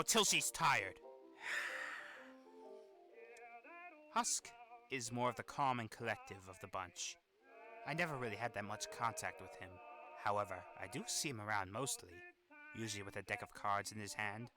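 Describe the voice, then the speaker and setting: A man voicing a weary, irritable, sardonic demon hotel resident in a fan-made animation monologue, with grumbling, exasperated delivery. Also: on the low side. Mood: matter-of-fact. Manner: grumbling, exasperated, sighing asides. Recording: voice over old phonograph music.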